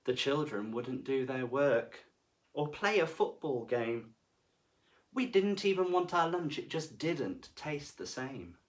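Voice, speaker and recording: Male children's storyteller, very animated intonation, dramatic deliberate phrasing, mid-pitched, slightly distant from the mic. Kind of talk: expressive picture-book reading for children. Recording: voice far from the mic.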